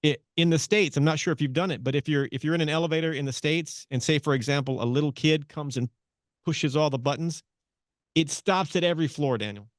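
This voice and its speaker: A man hosting a radio interview, chatty and animated as he asks his guest questions. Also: on the high side, brisk, no room sound, clean studio sound. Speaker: radio host. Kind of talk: casual interview chat. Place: radio studio.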